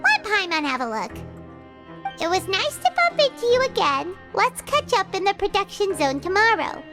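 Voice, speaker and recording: A woman voicing a tiny, chatty, excitable fairy-like companion in an anime game, squeaky and childlike, exclaiming often. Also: moderate pace. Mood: excited, puzzled. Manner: refers to self in third person, exclamatory. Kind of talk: English anime game voice acting. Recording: game dialogue over background music.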